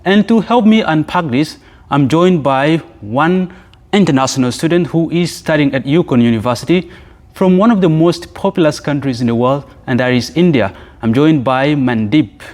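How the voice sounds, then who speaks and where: A man's voice: a little high, moderate pace, darkish tone. South Sudanese man hosting a podcast. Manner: hesitant, repeats words while thinking. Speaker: podcast host. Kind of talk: conversational interview.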